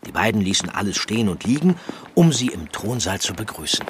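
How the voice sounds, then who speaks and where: A man's voice: on the low side, big pitch swings, fairly quick, a little hiss or hum. A man narrating a children's audio drama as a storyteller, in scripted storybook style. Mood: storytelling. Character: storybook narrator telling a children's ghost tale.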